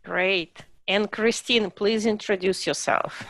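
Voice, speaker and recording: A woman hosting and moderating an online panel discussion, speaking in a measured way with occasional fillers. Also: mid-range voice, fairly even intonation, a bit bright, no room sound. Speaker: host and panel facilitator.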